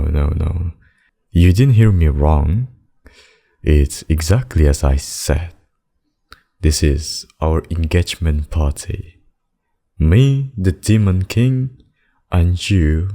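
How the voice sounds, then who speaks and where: A man performs a possessive demon king with a very low, dark voice. He woos in slow, theatrical phrases, smug and courtly, with menace under the sweetness, in an ASMR roleplay. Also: animated, creaky, heavily acted. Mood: insistent, proud.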